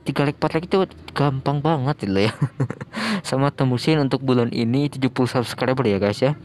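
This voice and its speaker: An Indonesian man hosting a reaction video with casual, slangy, excitable commentary. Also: medium pitch, brisk. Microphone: close microphone at home. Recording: music behind the voice, some room noise.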